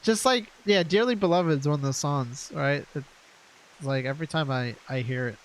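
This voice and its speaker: A young man streaming a game, talking in a halting, reflective way with lots of fillers, his nose stuffed up from congestion. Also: fairly high pitch. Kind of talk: casual rambling stream chat. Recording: game music loud behind voice. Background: game music.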